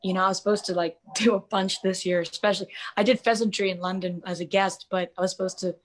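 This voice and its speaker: A woman's voice: medium pitch, steady tone, fast, slight background noise. A woman in her twenties, a jazz singer and interview guest, talking casually with lots of fillers and restarts. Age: 20s. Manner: chatty, many fillers and restarts.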